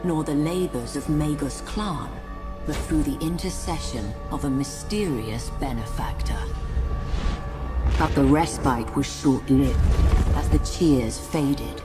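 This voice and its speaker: An actor voicing a solemn, ominous war chronicler with grandiose, measured narration for a game cinematic. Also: male voice, fairly high pitch, over music. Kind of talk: dramatic scripted game narration.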